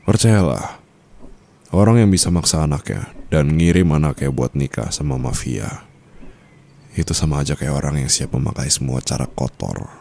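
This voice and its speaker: Casting: male actor as a cool, teasing mafia boss husband for ASMR roleplay, very low dark voice, measured formal delivery. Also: gravelly, heavily acted. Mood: stern, controlled. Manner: measured, formal, coaxing with short pauses. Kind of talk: ASMR romantic roleplay acting.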